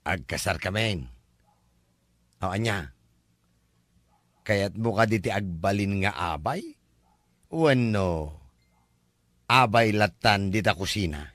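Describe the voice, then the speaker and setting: A man's voice: lowish voice, animated, slow pace, pauses often, a bit bright, dry recording, studio quality. A man with an Ilocano accent playing an exasperated, teasing countryman in a comedy-drama.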